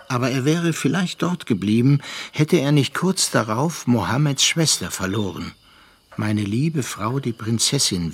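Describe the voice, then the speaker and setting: A reflective male narrator in a radio drama. He speaks slowly and deliberately at a middling pitch, with music faintly behind him. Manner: measured, deliberate. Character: measured, reflective male storyteller recalling an acquaintance. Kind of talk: scripted literary narration in a German radio play.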